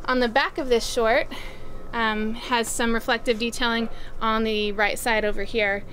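A woman's voice: on the high side, steady tone, moderate pace, a bit bright, a little hiss or hum, low-quality recording. Upbeat young woman presenting running gear. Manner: upbeat, with frequent "um" fillers. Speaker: store product presenter. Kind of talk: casual product rundown. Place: outdoors by farm fields.

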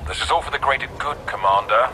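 A man's voice: mid-range voice, fast talker, background noise, lightly acted. A man playing a zealous, disciplined young Imperial agent in a video game, earnest and serious. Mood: zealous, rigid. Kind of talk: dramatic video game acting. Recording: loud storm and vehicle ambience.

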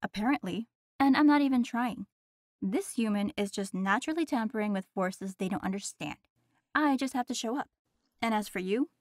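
A woman's voice: fairly high pitch, normal speed, no room sound, natural voice. A woman playing a sardonic, cocky female demon with a dry, teasing manner in an audio roleplay. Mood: cocky, dismissive.

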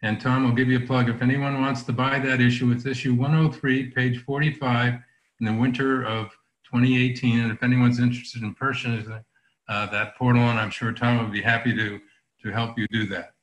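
A man's voice: medium pitch, little pitch movement, brisk, a bit bright. Hesitant man presenting in an echoey room. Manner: hesitant, many fillers. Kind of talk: online lecture. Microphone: video call. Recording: roomy echo on call audio.

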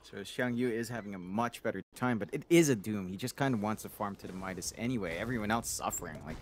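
A man's voice: lowish voice, fast talker, slight background noise. A man giving analytical commentary on a video game match, explaining builds and lanes with playful asides. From Philippines. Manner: explanatory, playful, self-deprecating jokes. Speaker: esports color commentator.

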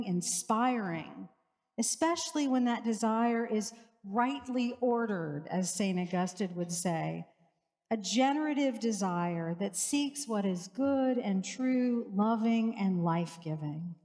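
A woman in her forties, a pastor, preaching a sermon in a slow, measured way with rhythmic repetition, on a headset mic in a church sanctuary. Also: on the high side, darkish tone. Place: church sanctuary with large lake-view windows. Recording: reverberant church hall.

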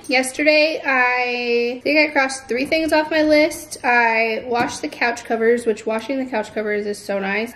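A young woman vlogging from her living room, chatting casually to the camera in an informal, self-deprecating way. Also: a little high. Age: young adult. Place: living room at home, indoors.

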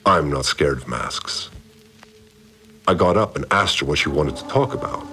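An actor playing a calm, cryptic male cult priest, narrating his log in a measured, solemn way. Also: extremely low pitch, breathy edge, heavily acted. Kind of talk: scripted audio drama narration. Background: soft music.

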